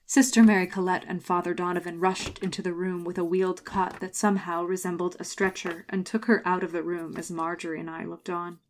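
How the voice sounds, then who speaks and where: Haunted first-person narrator, played by a woman: slightly low female voice, grave suspenseful storytelling, faint noise behind. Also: flat delivery, brisk, few pauses, close to an everyday voice. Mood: somber. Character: haunted grown woman narrating her frightening schooldays. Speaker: narrator and main character.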